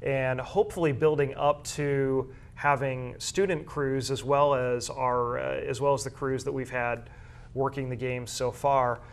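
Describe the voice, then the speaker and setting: A man's voice: medium pitch, level tone, measured pace. A man speaking as an interview guest, explaining school sports media work in a conversational way and often rephrasing his points. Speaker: guest, high school athletics media coordinator and broadcaster.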